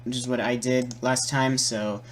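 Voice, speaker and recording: A man presenting a casual, improvised software tutorial, muttering and repeating himself as he works. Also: mid-range voice, little pitch movement, a little slow, a little hiss or hum. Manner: hesitant, muttering, repeating words while working.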